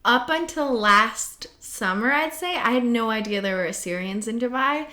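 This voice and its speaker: A woman's voice: on the high side, moderate pace, bright, forceful tone. Curious woman asking interview questions. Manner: conversational, curious. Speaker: podcast interviewer.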